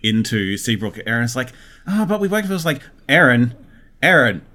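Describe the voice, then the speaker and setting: Male podcast writer, mid-range voice. Informal, animated storyteller in an interview. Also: medium pitch, moderate pace. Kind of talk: casual anecdotal interview chat.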